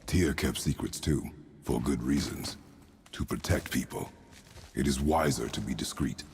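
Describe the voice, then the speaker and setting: An extremely deep, gravel-laden voice of a stern god of war and father, for a video game. He is terse, solemn and commanding, with faint noise behind him. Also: a little slow, darkish tone, exaggerated voice. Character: stern, guarded warrior father, a secretive god. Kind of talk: video game character acting.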